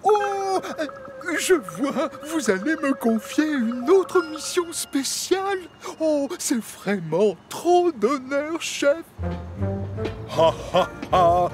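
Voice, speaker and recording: Forgetful cheery cartoon diesel engine; high, lively, unhurried chuckler. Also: male voice, full character voice. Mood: eager. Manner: chuckling, scatterbrained, sing-song. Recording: cartoon soundtrack with music behind.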